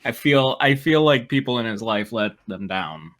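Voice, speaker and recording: Guy narrating a fanfic w/ jokey side comments, bright crisp-edged voice, medium pitch. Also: lively intonation, normal speed. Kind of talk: fan fiction reading with joking commentary.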